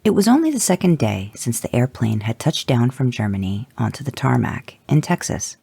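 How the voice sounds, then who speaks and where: A podcast producer reading fan fiction aloud as a podfic, expressive and voicing the characters. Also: medium pitch, normal speed, vocal fry, husky, no room sound.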